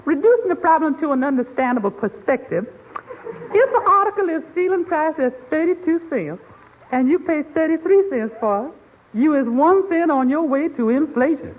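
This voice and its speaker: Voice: high voice, lively intonation, moderate pace, phone-quality sound. An actor playing a cheerful household maid in 1940s radio comedy, mixing folksy grammar with pompously learned words, on an old radio recording. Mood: earnest, self-important.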